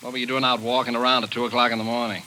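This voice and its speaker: An actor playing a blunt, impatient police lieutenant in a 1940s radio drama, brusque and interrogating. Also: male voice, mid-range voice, little pitch movement, racing, pushed and bright, close to an everyday voice, low-quality recording. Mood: pressing. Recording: hissy old radio recording.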